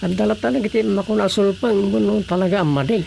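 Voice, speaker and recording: A man playing a practical, questioning village colleague in an Ilocano Filipino radio drama, matter-of-fact, with a gravelly voice. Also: high voice, fairly even intonation, quick pace, warm tone, slight background noise. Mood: matter-of-fact, doubtful. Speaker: voice actor.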